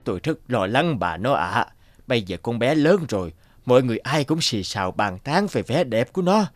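A man voicing a gentle, earnest old bamboo cutter and loving father in a children's cartoon. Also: medium pitch, sing-song, fairly quick, no echo, close to an everyday voice. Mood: worried, tender. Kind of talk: Vietnamese children's cartoon dubbing.

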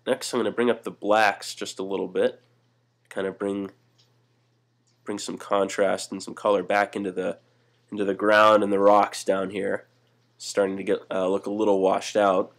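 Young guy, low voice, easygoing tutorial host, lots of fillers. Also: normal speed. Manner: relaxed, many "uh" fillers and restarts. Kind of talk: casual screen-recorded software walkthrough.

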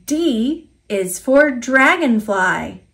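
A woman with an American accent reading an alphabet picture book aloud for toddlers, enunciating simply and deliberately. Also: fairly high pitch, animated, vocal fry, a bit bright.

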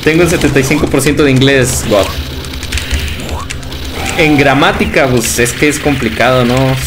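Chatty Mexican man streaming over loud game music. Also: medium pitch, moderate pace. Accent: Mexican Spanish. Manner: chatty, laughs often, calls viewers brother. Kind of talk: casual livestream chat reading viewer comments. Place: indoor room at home.

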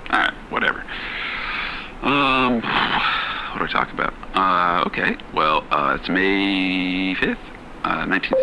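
Low, sharp-edged, reluctant diarist. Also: male voice, gravelly, slight background noise, full character voice, low-quality recording. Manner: awkward, halting, dismissive. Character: reluctant, jaded working man recording a personal journal. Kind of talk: improvised-sounding audio diary in horror game.

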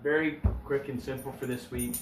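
A middle-aged man casually demonstrating a recipe in his home kitchen, low-key and a little tired. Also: steady tone, moderate pace. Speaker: home cook. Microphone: camera across the room. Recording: echoey kitchen with background noise.

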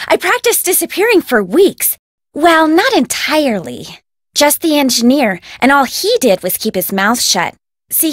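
High, animated, spoiled young American heiress. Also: female voice, dry recording, studio quality. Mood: perky. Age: young adult. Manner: perky, dramatic, valley-girl casual phrasing. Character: spoiled, gushing rich young heiress, bubbly and bossy. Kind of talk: video game voice acting.

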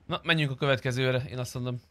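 A man's voice: medium pitch, steady tone, sharp tone, dry recording. A man chatting casually and crudely with friends while streaming a roleplay game. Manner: crude, bantering.

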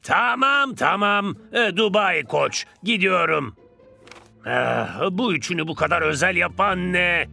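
An actor playing a vain, cocky star footballer in a cartoon dub, drawling theatrically. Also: male voice, sits high, expressive, measured pace, gravelly, sharp tone, soft background music, exaggerated voice. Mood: doubtful. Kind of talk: Turkish animated cartoon dub.

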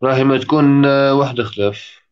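A man's voice: mid-range voice, steady tone, clear, resonant tone. A man, hosting a casual live call-in stream and guiding callers on their mics. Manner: fast, coaching callers on their mic. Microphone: video call. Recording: dull lo-fi online call audio.

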